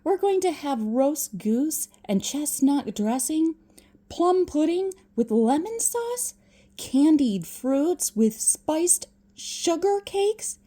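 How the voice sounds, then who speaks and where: A woman's voice: high voice, sing-song, slow pace, warm tone, pitched above the performer's own voice, full character voice. A woman voicing a cheerful, hearty young nephew, eager and coaxing, in a storybook reading. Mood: cheerful, inviting.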